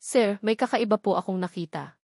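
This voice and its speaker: A Filipino woman voicing a deferential male private investigator, quiet but clear, in a narrated story. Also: mid-range voice, brisk, dry recording, studio quality, lightly acted. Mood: discreet, respectful.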